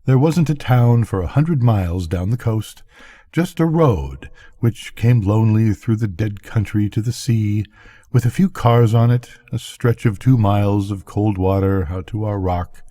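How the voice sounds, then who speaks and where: An older man narrates a bedtime story at a very slow pace. His voice is lowish and soft-edged, with a dark, mellow tone, like a grandfather reading aloud. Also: no room sound, studio quality.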